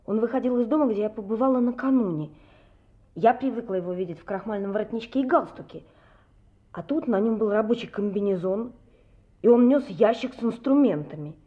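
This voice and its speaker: A woman's voice: a little high, animated, a little fast, dull audio, lightly acted. An actress playing a young working woman, an uneasy but candid witness in an audio drama.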